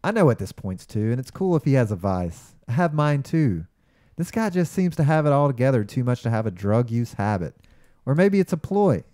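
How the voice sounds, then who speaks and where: A man's voice: medium pitch, sing-song, fairly quick, warm tone, no room sound. A man co-hosting a casual podcast with dry, wry remarks. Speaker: podcast co-host. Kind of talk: casual podcast talk, partly reading a listener email aloud.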